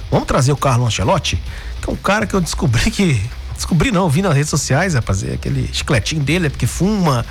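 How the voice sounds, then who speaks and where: A man from Brazil hosting a sports radio show, talking through football news in a chatty way, often tagging his sentences with questions. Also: on the high side. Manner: chatty, frequent "né?" tags and restarts.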